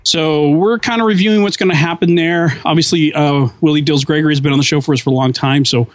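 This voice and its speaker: A man's voice: a little high, fairly even intonation, fast, no echo. A podcast co-host on his last show, chatting casually and earnestly, fond of corny dad jokes.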